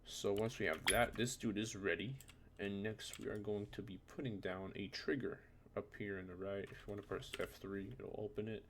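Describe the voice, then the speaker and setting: A man presenting a casual software tutorial in an informal, hesitant way, thinking aloud as he goes. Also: on the low side, steady tone, moderate pace. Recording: noisy home recording with keyboard clicks. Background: background noise, computer keyboard and typing.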